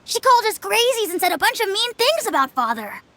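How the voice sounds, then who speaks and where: A woman's voice: very high-pitched, very animated, a little fast, a bit bright, full character voice. A woman voicing a smug, callous youngster in a video game, taunting and matter-of-fact as an informer. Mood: smug, accusing. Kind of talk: scripted video game acting.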